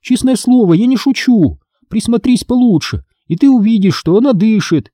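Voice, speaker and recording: A man voicing an excitable, indignant young girl in a storybook, insistent and exclamatory. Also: high voice, moderate pace, dry recording, studio quality, voice pushed up.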